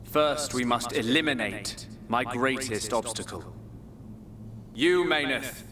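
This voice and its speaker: Slow, echoing, merciless god. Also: male voice, mid-range voice, slight background noise. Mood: cold, imperious. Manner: grandiose, menacing, slow pronouncements. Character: arrogant, merciless male god bent on destruction. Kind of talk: dramatic game cutscene acting. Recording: roomy, distant voice under loud music.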